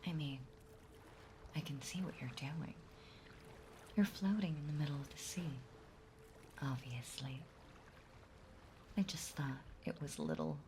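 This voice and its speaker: A woman playing a possessive, playful yet menacing mermaid in an intimate roleplay audio drama, speaking in a soft, breathy, halting and teasing way. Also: low-pitched, slight background noise, heavily acted. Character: possessive yandere mermaid, playful, sweetly menacing young female. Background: ocean ambience sound effects.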